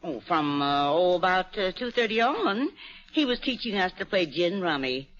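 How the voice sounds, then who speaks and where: A woman's voice: lowish voice, sing-song, moderate pace, fairly bright tone, faint music behind. An actress playing a scheming, greedy woman crook who poses as a folksy old mother in a radio mystery. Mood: folksy, sweet. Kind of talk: 1940s radio mystery acting. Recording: dull, muffled 1940s radio recording.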